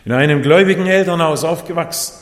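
A man from Japan preaching a sermon in a measured way, his voice rising and falling for emphasis. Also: a little high. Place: church hall. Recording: echoey hall, voice set back.

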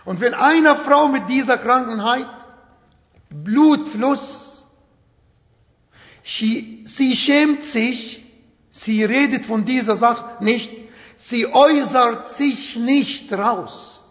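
Prediger mit arabischem Akzent, hohe Stimme, langsam im Hall. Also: male voice, extremely high pitch, a bit bright. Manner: slow, emphatic, non-native German phrasing. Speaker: preacher. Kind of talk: sermon. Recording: echoey hall, dull sound.

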